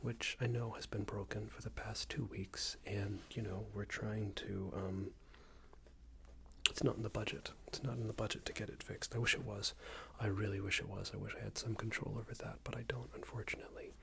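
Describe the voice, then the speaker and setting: A man playing a smarmy, passive-aggressive company boss in a soft-spoken ASMR roleplay, hesitant and condescending, often half-whispered. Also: lowish voice, flat delivery, brisk, background noise. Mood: defensive, smarmy. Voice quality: breathy, half-whispered, creaky. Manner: hesitant, hedging, condescending, rambling corporate small talk.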